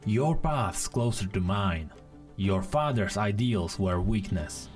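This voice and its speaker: A man voicing a cold, defiant male rival ninja in a fantasy story, hard and deliberate. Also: fairly low pitch, lively intonation, a little mellow, faint music behind, voice pushed down.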